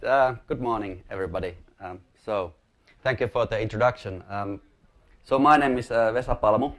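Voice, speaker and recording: A man's voice: lowish voice, a little fast, fairly bright tone. A man from Finland with a Finnish accent, giving an informal conference presentation in an explanatory, somewhat hesitant way. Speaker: company chief executive giving a talk.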